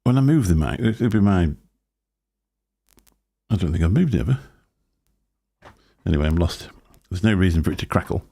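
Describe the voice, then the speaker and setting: A man with a very low, booming voice and a gravelly fry running under his words. His tone is dark and a little husky. He chats in a loose, rambling way on a live stream, talking into a desk microphone. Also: lively intonation, normal speed, pauses often. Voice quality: deep booming voice. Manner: rambling, chatty, chuckling to himself. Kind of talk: casual live stream chat. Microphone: desk microphone through a mixer.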